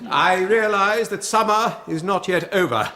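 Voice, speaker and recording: High-pitched, pompous English gentleman. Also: unhurried, slight background noise, exaggerated voice. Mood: grandly gracious. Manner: formal, oratorical. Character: stern, pompous Edwardian father and country house host. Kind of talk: radio drama acting.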